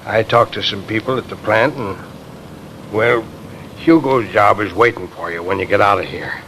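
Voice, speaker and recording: An actor playing a gruff but kindly police officer, hesitant and consoling, in 1950s radio drama style. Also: male voice, lowish voice, moderate pace, a bit bright, slight background noise, rough recording. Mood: awkward sympathy. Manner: hesitant, plain-spoken, consoling.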